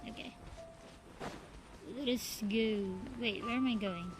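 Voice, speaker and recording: A woman's voice: fairly high pitch, slow pace, rough recording. A woman streaming a game, giving casual, rambling commentary as she talks to herself. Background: game music.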